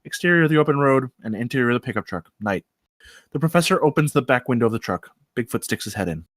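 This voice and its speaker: A man's voice: mid-range voice, animated, fast, fairly bright tone, no room sound, clean studio sound. A man narrating, reading screenplay action aloud at a table read. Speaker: script reader, narrator.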